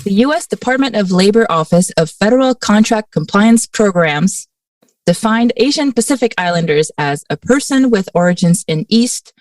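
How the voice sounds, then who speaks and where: Woman, podcast co-host, measured friendly questions, dry sound, slightly distant. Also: mid-range voice, expressive, a little mellow, no room sound. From Mauritius. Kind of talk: casual conversational podcast chat.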